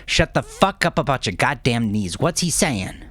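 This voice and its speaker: A man voicing a tense, hot-tempered younger criminal who speaks in profane, rough language. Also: mid-range voice, very animated, a bit bright, voice pushed up. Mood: furious. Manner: snarling, profane, halting when explaining.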